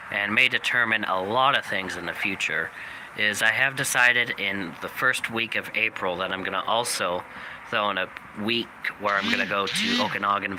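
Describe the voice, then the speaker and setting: A young man hosting a channel, giving a rambling casual update with many fillers, his voice slightly raspy from coughing. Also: on the low side, bright, forceful tone. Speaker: channel host. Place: outdoors, people walking by. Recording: noisy outdoor recording.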